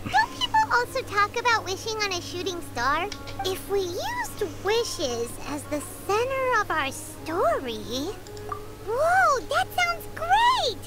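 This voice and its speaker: A woman voicing a small, childlike, excitable fairy companion in a video game, squeaky and earnest. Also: takes it slowly, full character voice. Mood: excited. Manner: exclaiming, refers to self in third person. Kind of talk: English video game voice acting. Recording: game dialogue over loud music.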